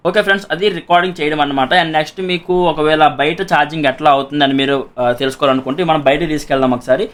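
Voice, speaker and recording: A man's voice: on the high side, steady tone, very fast, fairly bright tone. A man with a Telugu accent gives a casual product demonstration as a reviewer and seller, explaining repetitively and mixing in English words.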